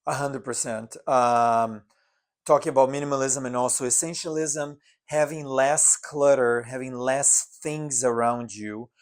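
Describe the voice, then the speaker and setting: Earnest mid-pitched man, podcast co-host. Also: fairly even intonation, measured pace. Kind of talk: casual chat. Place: apartment living room.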